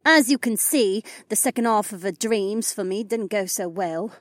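A woman's voice: medium pitch, moderate pace, no room sound, clean studio sound, lightly acted. A woman voicing a proud, wounded elf noblewoman and sword warrior in an audiobook. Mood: scornful. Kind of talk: audiobook character dialogue.

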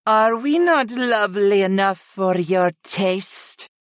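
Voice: medium pitch, big pitch swings, unhurried, a bit bright, dry recording, clean studio sound, pitched above the performer's own voice. A narrator voicing female goddesses in a fantasy audiobook, murmuring, coaxing and pouting in a sultry way. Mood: sulky.

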